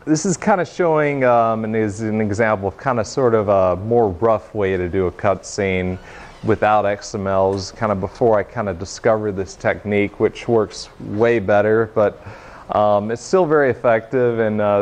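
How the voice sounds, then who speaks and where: A young man giving an informal technical demo to a room, speaking haltingly with frequent pauses. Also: on the low side, a little mellow. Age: young adult. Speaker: presenter giving a software demo. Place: meeting room, standing by projection screen. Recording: noisy, muffled room recording.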